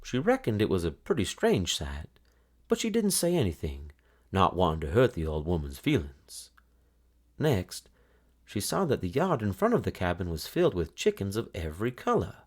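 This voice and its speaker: A man narrating a children's storybook aloud in an expressive, dramatic style. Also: low-pitched, moderate pace.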